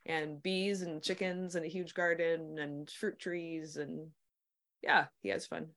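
Chatty low-voiced woman guest. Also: little pitch movement, a bit bright. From New Jersey/New York, USA. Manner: chatty, laughs easily, quick asides. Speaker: podcast host and guest. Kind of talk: casual conversation.

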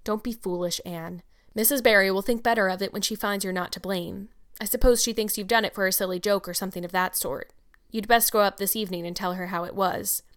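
A woman voicing a stern, practical older guardian with a brisk, curt manner and gruff kindness. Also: medium pitch, steady tone, close to an everyday voice. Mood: gruff comforting.

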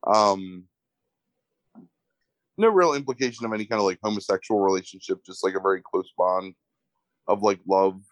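A young man, congested, describing a movie in a casual, halting way full of fillers. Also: low-pitched, no room sound, low-quality recording. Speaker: movie podcast co-host. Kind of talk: casual film review chat.

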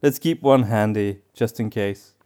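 A man co-hosting a casual podcast, speaking in a halting way as he thinks aloud. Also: on the low side, warm tone, clean tone. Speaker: podcast co-host.

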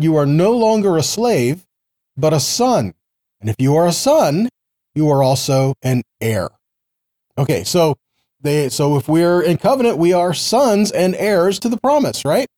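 A man with a fairly high yet mellow voice gives an informal Bible lesson. He moves between reading scripture and explaining it in a didactic manner. He often puts rhetorical questions to the listener and seeks agreement. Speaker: Bible teacher.

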